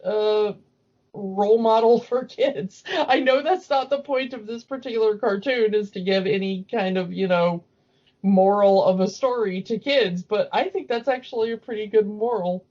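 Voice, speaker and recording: A woman's voice: mid-range voice, normal speed, a little mellow, muffled recording. A woman co-hosting a podcast, recapping a cartoon in casual chat with rambling, amused storytelling. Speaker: podcast co-host.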